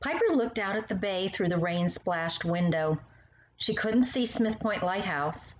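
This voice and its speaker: A woman reading poems and stories aloud to young children in an expressive, animated manner, speaking directly to the listener. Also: medium pitch, a little slow. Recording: roomy, muffled sound with faint noise.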